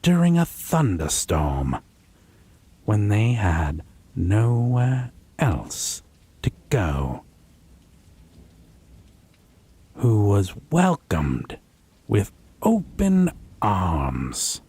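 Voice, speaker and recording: A man playing a possessive, wounded vampire in a roleplay audio drama, speaking with mocking refinement, theatrical phrasing and a breathy, husky voice. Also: fairly low pitch, huge pitch swings, very slow, dark tone, heavily acted. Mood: brooding, pointed. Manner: pedantic, sardonic, theatrical, lingering phrasing.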